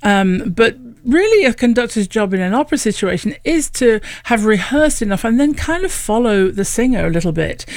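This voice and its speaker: A woman's voice: on the high side, animated, normal speed, hardly any pauses, dry recording. A woman from Lincoln in England with a northern English accent, chatting as an opera singer guest in a radio studio interview, laughing often. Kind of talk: relaxed radio interview chat. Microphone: studio microphone.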